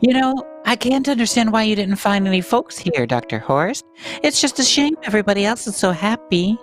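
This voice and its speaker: A woman's voice: medium pitch, expressive, fairly quick, faint music behind, close to an everyday voice. A woman playing a doting, fussy, hospitable mother in a sci-fi radio play, effusive and coaxing. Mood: cheerful, fussing.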